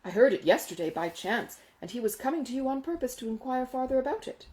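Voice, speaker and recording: Woman as a pompous, animated Regency gentleman. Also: high voice, quick pace, pitched above the performer's own voice. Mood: eager, inquisitive. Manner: pompous, exclamatory, confiding. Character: self-important, money-minded gentleman, fussy and astonished. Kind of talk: acted audiobook dialogue from a Regency novel.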